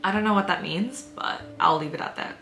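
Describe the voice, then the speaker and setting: A woman, talking softly and reflectively through a get-ready-with-me vlog voice-over. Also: mid-range voice, expressive, brisk, roomy sound, faint music behind. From United States. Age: 20s. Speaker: beauty vlogger.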